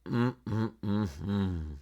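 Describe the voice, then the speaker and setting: A man voicing a patient, gently stern old country woman in a children's story, with a folksy manner. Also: fairly low pitch, very slow, highs cut off. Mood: disapproving. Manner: folksy, muttering hums.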